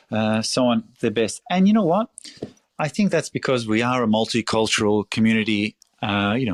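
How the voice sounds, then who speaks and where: A man's voice: medium pitch, no room sound. Passionate, blunt Australian man. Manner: passionate, blunt, swears freely, many restarts. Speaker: restaurateur guest. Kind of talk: podcast interview answer.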